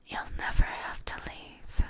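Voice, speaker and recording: A woman's voice: a little slow, pushed and bright. A woman playing an obsessive, possessive vampire catgirl in an ASMR roleplay, whispering closely and breathily with doting, menacing sweetness. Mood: adoring, possessive. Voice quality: breathy, mostly unvoiced whisper. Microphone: close binaural ear microphone.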